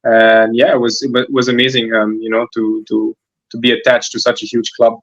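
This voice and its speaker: A man's voice: medium pitch, level tone, brisk, a bit bright, lo-fi sound. A man in his twenties from Germany, a footballer answering interview questions, hesitant, with many fillers and restarts. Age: 20s. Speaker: guest footballer.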